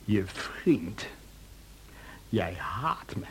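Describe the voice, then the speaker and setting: An actor playing a gruff, proud, awkward young countryman with a blunt manner in a radio play, on a faintly noisy old recording. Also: deep voice, sing-song, extremely slow, exaggerated voice. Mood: gruff, hurt.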